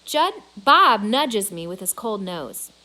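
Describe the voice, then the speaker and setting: Woman acting a male gorilla narrator, storybook, proud, measured, very unhurried. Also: medium pitch, sing-song, bright, forceful tone, full character voice. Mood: observant. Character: proud, grumbling male gorilla artist narrating his tale. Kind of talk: storybook narration in first person.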